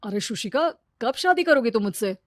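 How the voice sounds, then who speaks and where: A woman voicing an arrogant, fickle husband, boastful and wheedling, in an animated story. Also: a little high, normal speed, dry recording, studio quality, lightly acted. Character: arrogant, fickle, money-proud husband, easily smitten.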